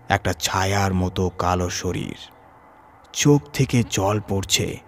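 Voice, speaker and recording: A man with a fairly low voice narrates a horror story. He reads dramatically, using suspenseful pauses and strong emphasis, with music clearly running behind him. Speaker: horror story reader and channel host.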